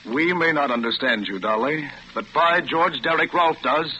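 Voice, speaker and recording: A man's voice: a little high, moderate pace, pushed and bright. A male actor playing an ardent, protective young man in a 1940s radio drama, with an eager, emphatic delivery. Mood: agitated. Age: young adult. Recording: muffled, noisy 1940s radio recording.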